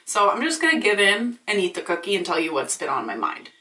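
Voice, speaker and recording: A woman in her late twenties, vlogging in a chatty, animated, ranty way, swearing freely and a bit congested from allergies. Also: medium pitch, quick pace. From Michigan. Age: 20s. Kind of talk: casual ranting vlog. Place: small indoor room. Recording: roomy echo, slightly distant.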